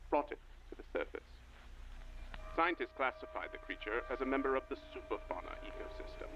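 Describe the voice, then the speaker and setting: A man's voice: mid-range voice, fairly bright tone. A man playing a grave, earnest documentary guide, narrating formally and portentously from a script in a vintage style. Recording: dull lo-fi audio with slight noise.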